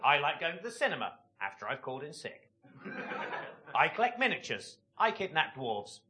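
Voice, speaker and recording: Deadpan stand-up guy, voice bouncing all over, noisy club. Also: fairly high pitch, moderate pace. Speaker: stand-up comedian. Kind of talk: stand-up routine. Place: comedy club stage.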